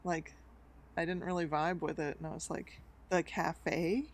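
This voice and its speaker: A woman's voice: fairly low pitch, flat delivery, measured pace, slight background noise. A woman co-hosting a casual chat who tells stories in a laid-back, slangy way and laughs often. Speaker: podcast co-host.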